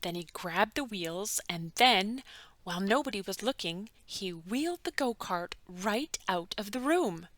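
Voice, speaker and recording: Animated woman reading a children's storybook. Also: mid-range voice, dry recording. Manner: animated, dramatic emphasis with drawn-out words. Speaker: children's book read-aloud narrator. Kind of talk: expressive scripted bedtime story narration.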